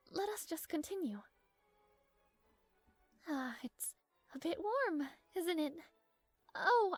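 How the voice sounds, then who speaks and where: A woman's voice: very high voice, no room sound, full character voice. A woman playing a strong-willed young princess with formal, regal diction in an audio roleplay, proud but easily flustered. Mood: flustered, shy, then embarrassed, flustered. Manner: formal regal diction, haughty, hesitant stammering when flustered.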